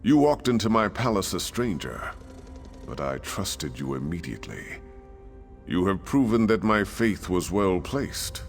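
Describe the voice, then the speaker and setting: A deep-voiced, gracious king speaks slowly and with stately formality. Faint game music plays beneath his dignified address. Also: very animated, full character voice. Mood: stately, proud. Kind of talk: scripted game dialogue.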